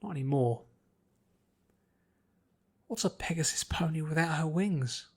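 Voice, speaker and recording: A man's voice: fairly high pitch, animated, a little slow, husky, full character voice. A man voicing a brash, sporty young woman in a fan-fiction cartoon story, with a casual, moody manner. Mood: glum. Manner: casual, grumbling, self-doubting. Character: brash, sporty young female pony-turned-human, moody.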